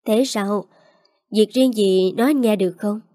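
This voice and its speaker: A woman's voice: mid-range voice, moderate pace, warm tone, dry recording, studio quality, natural voice. A woman voicing a sleazy middle-aged male office boss who asks prying questions in an audio story.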